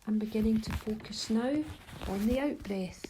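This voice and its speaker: A woman leading a guided meditation in a soft, breathy voice, giving gentle, unhurried instructions with pauses. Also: a little high, a little hiss or hum, low-quality recording. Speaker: mindfulness guide.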